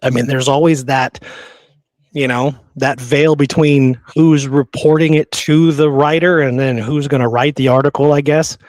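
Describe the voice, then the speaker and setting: A man's voice: medium pitch, steady tone, moderate pace, darkish tone, no echo. A man co-hosting a conversational history discussion, repeating points for emphasis. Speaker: podcast co-host. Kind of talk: conversational historical discussion, sometimes reading quotes.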